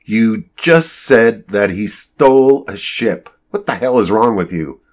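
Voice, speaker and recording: An angry, bewildered businessman as performed by a male narrator in an audiobook, low in register. He talks confrontationally and indignantly, ready to swear and argue. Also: lively intonation, unhurried. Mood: angry, accusing. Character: hot-tempered, bewildered company boss, adult man.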